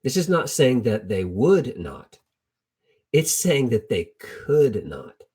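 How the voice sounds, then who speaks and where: A middle-aged man with a husky, slightly rough voice at a medium pitch preaches informally. He tells Bible stories in plain, colloquial language and repeats key phrases to drive them home. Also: big pitch swings, slow pace, a little mellow. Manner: conversational, colloquial, with emphatic repetition. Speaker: preacher teaching a Bible lesson. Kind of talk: informal sermon, storytelling Bible teaching.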